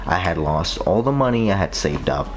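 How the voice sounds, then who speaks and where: Low monotone man over loud music. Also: normal speed, a little hiss or hum. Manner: rambling, with many fillers and restarts. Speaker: streamer. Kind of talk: casual rambling chat while streaming a game. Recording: loud game music behind the voice. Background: loud game music.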